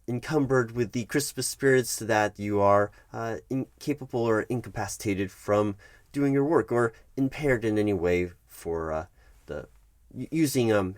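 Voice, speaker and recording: A man's voice: fairly low pitch, no echo, clean studio sound, close to an everyday voice. A man playing a politely evasive, officious HR manager in an ASMR office roleplay, stammering through formal, bureaucratic wording. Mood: polite, awkward. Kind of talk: ASMR roleplay, one-sided office meeting.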